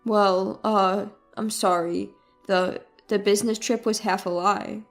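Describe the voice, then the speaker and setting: A woman voicing a flustered, evasive and sheepish husband who speaks haltingly in a narrated story. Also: medium pitch, steady tone, faint music behind, pitched below the performer's own voice, natural voice. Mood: flustered, guilty. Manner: halting, stammering.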